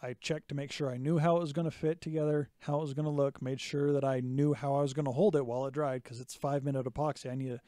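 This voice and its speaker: A man in his thirties, slightly hoarse, co-hosting a casual hobby podcast and explaining projects step by step. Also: medium pitch, fairly even intonation, fast, hardly any pauses, mellow, no echo. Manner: chatty, explains step by step with restarts. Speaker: podcast co-host. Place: small home workshop indoors. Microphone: studio mic on boom arm, close. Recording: dry close podcast mic.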